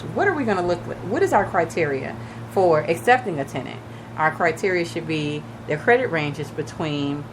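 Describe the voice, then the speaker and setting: A woman with a fairly low, raspy voice that still sounds a bit shaky as she recovers from losing it. She talks casually to listeners on a radio show, giving advice with plenty of fillers. The broadcast has a clear layer of noise behind her. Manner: chatty, advising listeners directly with many fillers. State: recovering from lost voice, a little shaky. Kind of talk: casual radio talk on real estate. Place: radio studio.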